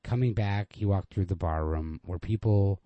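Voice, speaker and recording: A man reading a literary short story as narrator, in a measured, understated manner. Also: deep voice, no echo, lo-fi sound. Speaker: story reader.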